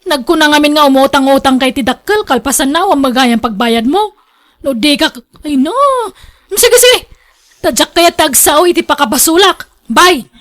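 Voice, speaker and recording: A woman's voice: high voice, expressive, normal speed, fairly bright tone. A woman with a northern Philippine accent playing a firm, blunt working wife and mother in a radio drama. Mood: angry, scolding. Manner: blunt, scolding.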